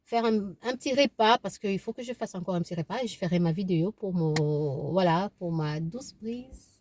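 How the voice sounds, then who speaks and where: A woman's voice: mid-range voice, moderate pace, a little mellow, a little hiss or hum. A woman in her thirties from eastern Congo, whose first language is Kibembe, talking casually to camera from inside her car, repeating herself and restarting with many fillers. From eastern Congo (DRC). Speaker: vlogger. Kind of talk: casual opinion vlog.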